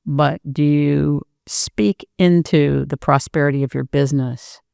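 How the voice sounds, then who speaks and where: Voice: mid-range voice, fairly even intonation, measured pace, gravelly, a little mellow, clear, resonant tone, no room sound, clean studio sound. An interviewer asking questions and speaking admiringly in long flowing sentences during a conversation.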